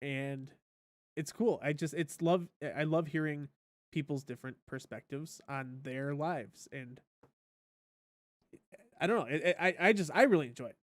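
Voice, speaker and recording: A man's voice: on the high side, a little slow, pauses often, no echo. A man co-hosting a casual podcast, chatty and teasing, checking the chat as he talks. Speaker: co-host.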